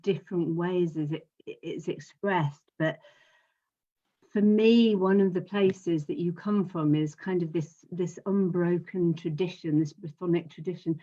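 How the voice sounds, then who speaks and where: A woman hosting an online talk, asking her guest gentle, hesitant questions over a video call. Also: on the low side, steady tone, unhurried, lo-fi sound. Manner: gentle, hesitant, many fillers. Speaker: host. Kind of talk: interview questions.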